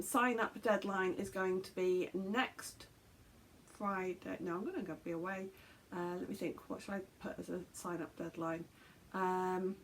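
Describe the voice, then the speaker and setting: Chatty English woman rambling to camera. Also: mid-range voice. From England. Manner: chatty, rambling with many fillers and self-corrections. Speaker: podcast host. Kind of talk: casual chat to camera. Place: small bedroom craft room, indoors.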